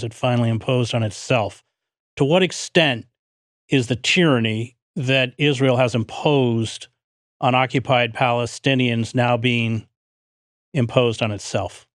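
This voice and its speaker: A man interviewing a guest, asking a long question in measured, formal phrasing. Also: mid-range voice, no room sound, clean studio sound.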